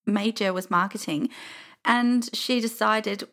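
A woman's voice: medium pitch, fairly even intonation, measured pace, fairly bright tone. A woman in her thirties with an English accent, chatting casually and telling stories as an interview guest. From England. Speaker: podcast guest.

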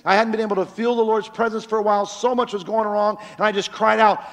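A man preaching a sermon as pastor in a church, with a very loud voice that swings between loud cries and hushed, near-whispered lines, on a microphone. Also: high-pitched, little pitch movement, fast talker, in a large hall.

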